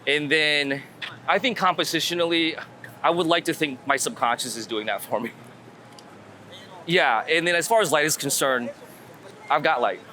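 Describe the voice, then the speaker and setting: Bright-voiced man chatting on the street. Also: a little high, normal speed, pauses often. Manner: rambling, informal, thinking aloud with repeated phrases. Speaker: guest street photographer. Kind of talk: casual conversational explanation while out shooting. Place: outdoors on a city street. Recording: outdoor city street with traffic noise.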